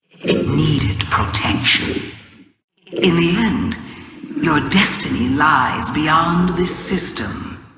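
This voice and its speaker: A woman's voice: a bass voice, vocal fry, fairly bright tone, heavily acted. Ancient alien witch queen for a video game: scheming, grandiose trickster, sweeping theatrical intonation, drenched in long reverb. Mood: grave, defiant. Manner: sly, deliberate, taunting, grandiose. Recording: echoey voice with long cavernous reverb.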